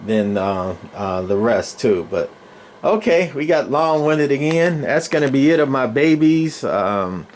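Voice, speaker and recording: A man giving a casual, unscripted show-and-tell as a collector, rambling and often pausing to search for words. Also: medium pitch, lively intonation, a little hiss or hum. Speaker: radio collector and channel host.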